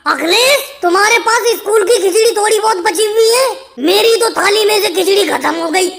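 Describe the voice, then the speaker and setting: A very high, bright cartoon voice acted out by a performer as a squabbling little man. He bickers and whines emphatically, like a character in a comedy animation. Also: moderate pace, vocal fry, full character voice. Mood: pleading, bickering. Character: pitched-up cartoon caricature of a squabbling male politician schoolboy or labourer. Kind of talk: comic cartoon dialogue in Hindi.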